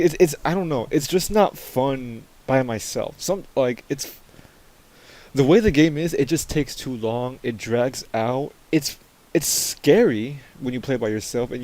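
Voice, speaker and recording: A man with a deep voice he pushes louder, giving excitable, teasing commentary while playing a game. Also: a little hiss or hum. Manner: excitable, loud, teasing teammates. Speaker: gamer. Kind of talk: casual gaming commentary.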